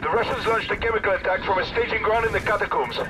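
A man's voice: sits high, quick pace, close to an everyday voice. A man playing an embattled French special forces soldier, strained and urgent, in a military video game. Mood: weary, tense. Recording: loud battle gunfire noise.

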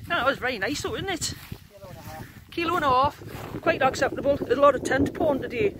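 A woman's voice: high-pitched, a little fast, background noise. A woman, chatting and teasing as she films her camping trip on open moorland with a handheld phone. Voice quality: gruff. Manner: chatty, teasing, laughs often. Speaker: outdoor vlogger. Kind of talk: casual handheld camping vlog. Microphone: phone camera held by the speaker.

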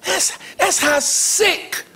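An older man preaching a sermon to a church congregation, building to shouts and mimicking voices to mock division. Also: very high voice, extremely slow. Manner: preaching with rising shouts and acted mocking voices.

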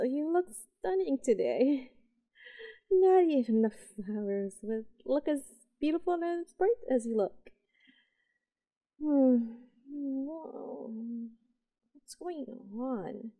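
A woman playing an obsessive, possessive yandere young woman in a roleplay audio drama, cooing and halting, sweetly unhinged. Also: sits high, expressive, warm tone, no room sound, exaggerated voice. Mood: giddy, adoring, then tender, coaxing. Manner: cooing, halting, breathy asides.

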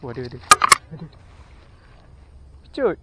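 A man's voice: medium pitch, normal speed, heavy background noise. A young man with a Malaysian Malay accent, talking casually to camera while fishing by a plantation canal, muttering to himself and perking up when a fish bites. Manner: muttering to himself while casting, bursts of excitement on strikes. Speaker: fishing vlogger. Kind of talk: casual fishing vlog commentary. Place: outdoors by a canal in an oil palm plantation. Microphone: action camera worn on the body, then held at arm's length.

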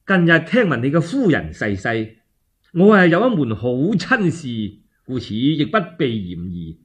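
A smooth Cantonese matchmaking envoy, acted by a male storyteller, persuades with courtly emphasis. His pitch moves a great deal and his tone is slightly rough, as in an old tale told on radio. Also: sing-song, measured pace. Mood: courteous.

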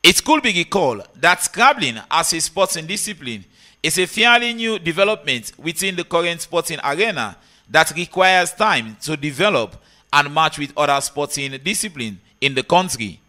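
A man's voice: a little high, animated, normal speed, sharp tone. A man, narrating a scripted news report as a sports correspondent.

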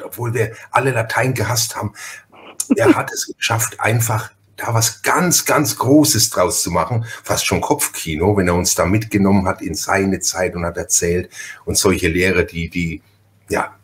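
A man's voice: on the low side, a bit bright. A middle-aged man, chatting casually and emphatically as a podcast host. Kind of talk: casual chat.

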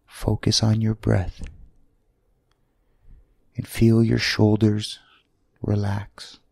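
Slow, low-voiced man guiding meditation. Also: monotone, gravelly, no echo. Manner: soothing, gentle, long pauses between short phrases. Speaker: guided meditation leader. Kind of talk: guided sleep meditation.

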